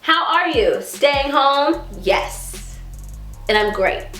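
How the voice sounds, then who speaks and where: A woman in her twenties from Texas, chatting casually as she answers viewer questions, giggling often and sometimes trailing into a soft mumble. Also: sits high, expressive, drawn-out words. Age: 20s. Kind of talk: casual chat. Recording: roomy echo with faint music.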